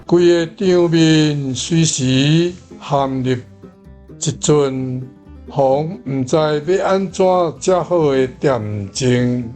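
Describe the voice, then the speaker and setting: Slow-paced Taiwanese man reading model sentences. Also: fairly high pitch, a little mellow, faint music behind. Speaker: language teacher. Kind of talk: measured model translation read aloud.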